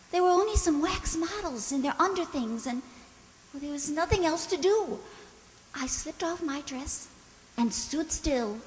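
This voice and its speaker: A woman playing a frightened, naive young maid in a radio drama, speaking gently and hesitantly. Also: high-pitched, in a large hall, slight background noise, poor audio. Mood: lovestruck. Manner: hesitant, timid, repeats words.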